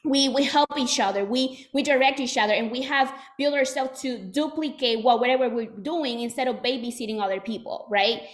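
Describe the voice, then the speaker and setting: A woman's voice: fairly high pitch, a little fast, few pauses. A woman in her twenties with a Puerto Rican accent, giving a chatty motivational training talk to her team with many fillers and restarts. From Puerto Rico. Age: 20s. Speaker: insurance agency owner giving a talk.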